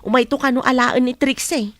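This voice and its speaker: A woman's voice: high voice, fairly even intonation, fairly quick, a bit bright. A woman playing a chatty, deferential housemaid in an Ilocano radio comedy-drama.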